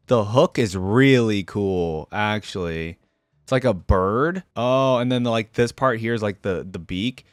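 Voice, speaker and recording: A man hosts a casual reaction video, thinking out loud as he gives his opinions. His pitch moves around a lot, sitting at a middling height overall. The sound is close and dry, with an informal, unscripted delivery. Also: no room sound. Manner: mulls aloud, informal, opinionated.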